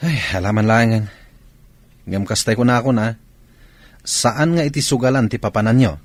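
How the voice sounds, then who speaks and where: A man's voice: mid-range voice, moderate pace, no room sound, close to an everyday voice. A man playing a weary, defensive grown son and husband in an Ilocano audio drama. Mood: exasperated.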